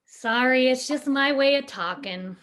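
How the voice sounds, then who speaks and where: A woman's voice: high voice, a little slow, fairly bright tone. A woman playing a blunt, sassy ex-vaudevillian theater dresser in a radio play. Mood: offhand.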